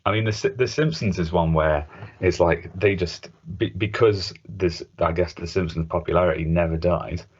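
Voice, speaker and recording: A man's voice: deep voice, moderate pace, husky. A man co-hosting a podcast in casual, hesitant, informal chat, often tagging questions onto his points. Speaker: podcast co-host. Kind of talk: casual chat about retro cartoon video games. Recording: dull, muffled lo-fi audio.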